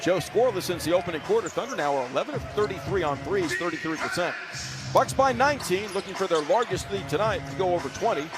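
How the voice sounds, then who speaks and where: A male basketball announcer with a high-set voice delivers live radio commentary. His phrasing is brisk and clipped, lifting for the big plays. Music sits clearly behind him. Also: lively intonation. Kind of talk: live sports play-by-play commentary.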